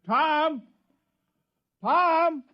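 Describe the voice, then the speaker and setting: A man's voice: very high-pitched, extremely slow, dry recording, exaggerated voice. A dry, slightly muffled old radio recording carries a small-village mayor. He is folksy and apologetic, stumbling over his words in the flustered style of 1950s radio drama. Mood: calling out. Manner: hesitant, stammering, folksy.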